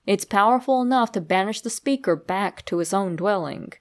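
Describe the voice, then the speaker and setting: A woman reading a creepypasta as scripted narration, giving instructions in a deliberate, measured storytelling manner. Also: medium pitch, lively intonation.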